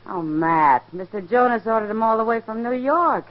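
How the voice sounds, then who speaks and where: A woman's voice: a little high, animated, normal speed, natural voice. An actress playing a teasing, coaxing frontier townswoman in a 1950s radio western. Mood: playful coaxing. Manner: playful, coaxing. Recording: muffled, dull 1950s radio recording.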